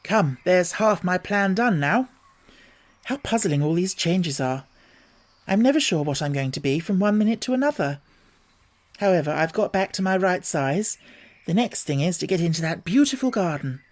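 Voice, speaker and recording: A man's voice: sits high, expressive, normal speed. A man voicing a polite, truthful little girl who talks earnestly. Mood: musing.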